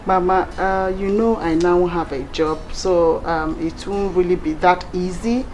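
A woman's voice: on the low side, fairly even intonation, a little slow, a little mellow, slight background noise, lightly acted. An actress playing an earnest, apologetic young woman in her late twenties in a film drama, somewhat hesitant, with a Nigerian Igbo accent. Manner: hesitant, repeating words. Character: earnest, apologetic young city-working daughter.

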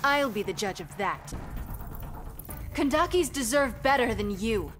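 An actress playing an earnest young superheroine in a video game, indignant and determined. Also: female voice, on the high side, expressive, unhurried, music in the background. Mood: defiant.

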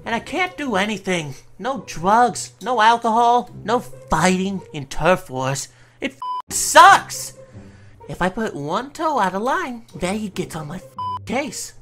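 Woman doing a sassy flirty demon gigolo guy, cartoon dub, slangy, real bouncy pitch. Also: sing-song, slow, a bit bright, soft background music. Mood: exasperated. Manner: sassy, slangy, sugary pet names. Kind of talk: comic dub voice acting.

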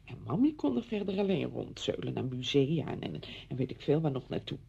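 A woman's voice: rumbling low, very animated, a little fast, vocal fry, dark tone, husky, muffled recording, full character voice. An actress playing a chatty, apologetic married woman visiting a friend in a radio drama, effusive, rambling and flustered.

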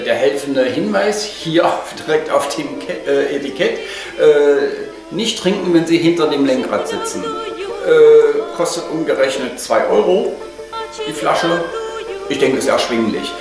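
A man's voice: a little high, sing-song, measured pace. An older man chatting casually through a home cooking vlog, full of hesitations, on a lapel mic in his kitchen. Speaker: vlogger. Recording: echoey kitchen, mic at a distance, music behind.

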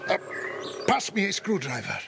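An actor playing a gruff, wary circus lion tamer in an audio drama. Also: male voice, high-pitched, very animated, normal speed, background noise.

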